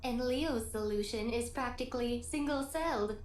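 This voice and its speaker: A woman voicing a small, excitable and cheeky female companion in a video game. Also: on the high side, moderate pace, slight background noise, lightly acted. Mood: teasing. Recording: loud game music behind.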